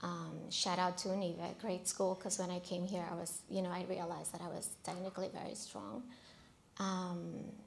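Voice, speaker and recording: A woman's voice: lowish voice, flat delivery, moderate pace, vocal fry, slight background noise. A woman from the Dominican Republic, a native Spanish speaker with a Dominican accent, telling her career story conversationally as a designer on a panel.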